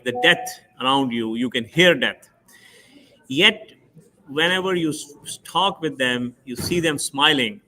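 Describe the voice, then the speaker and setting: A man from Pakistan, a doctor giving a testimony talk on an online panel, speaking in a halting way with many fillers and restarts over a video call. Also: medium pitch, bright, forceful tone, rough recording.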